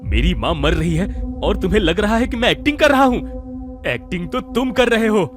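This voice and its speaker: Histrionic, accusing middle-aged uncle. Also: male voice, sits high, expressive, brisk, music in the background. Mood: wailing, accusing. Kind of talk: Hindi audio drama acting.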